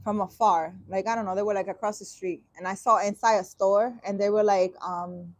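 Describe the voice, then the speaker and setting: A woman's voice: medium pitch, fairly even intonation, brisk, no echo. A woman reading a dream interpretation aloud and breaking off with sarcastic, exasperated comments. She swears casually and often restarts her sentences. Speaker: spiritual vlogger.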